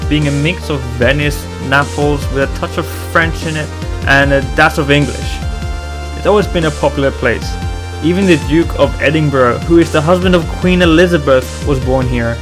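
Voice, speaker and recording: Higher-voiced man vlogging over music. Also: moderate pace. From Corfu, Greece. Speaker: vlogger and presenter. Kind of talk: casual to-camera personal narration.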